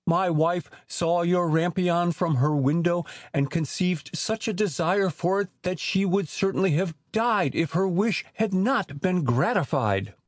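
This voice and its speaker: A man's voice: sits high, a little slow, dry recording, studio quality. A man playing a desperate husband in a fairy-tale audiobook, speaking in an earnest, formal, theatrical way. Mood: pleading.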